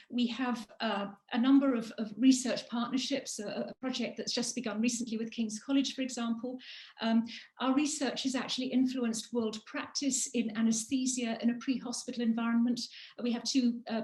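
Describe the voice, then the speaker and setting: A woman with a fairly high voice gives a prepared talk over a video call, leaning on her key points with firm emphasis. The room around her sounds echoey and reverberant. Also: darkish tone. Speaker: charity executive speaking on a panel. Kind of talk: prepared webinar presentation.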